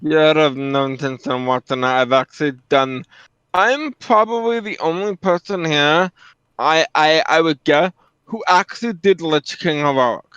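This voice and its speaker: Guy chatting on a stream, higher smooth voice, dull voice call sound. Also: normal speed, fairly bright tone, no room sound. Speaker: co-commentator on a livestream. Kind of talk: casual chat. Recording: dull, band-limited voice chat audio.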